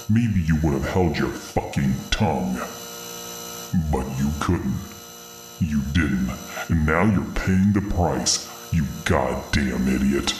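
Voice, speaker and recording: A man's voice: very deep voice, a little mellow. A man voicing a giant, vengeful monster woman who boasts and swears threats in a dramatic horror-story reading. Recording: roomy echo, music behind.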